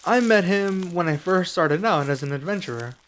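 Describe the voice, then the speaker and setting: A young man playing a serious, guarded dragon adventurer in an ASMR roleplay, speaking softly and slowly with pauses. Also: sits high, expressive, a little hiss or hum. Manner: soft-spoken, measured, with pauses.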